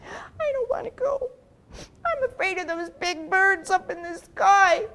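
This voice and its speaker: A woman's voice: very high-pitched, huge pitch swings, drawn-out words, darkish tone, voice pushed up, heavily acted. A woman doing a timid little turtle. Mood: scared. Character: timid little male turtle, fearful then bold.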